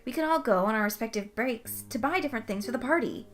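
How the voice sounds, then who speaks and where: A woman's voice: a little high, expressive, fast, fairly bright tone, soft background music. A woman voicing a friendly, helpful young male salesman in an audiobook, casual and rambling. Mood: encouraging. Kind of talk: audiobook character voice.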